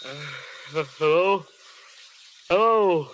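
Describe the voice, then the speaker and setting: Groggy, exasperated studio boss, lively pitch. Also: male voice, very slow, heavily acted. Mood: groggy, confused. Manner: groggy, mumbling, grudging. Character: sleepy, exasperated film studio boss woken at night. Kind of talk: 1930s radio acting. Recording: faintly hissy old radio recording.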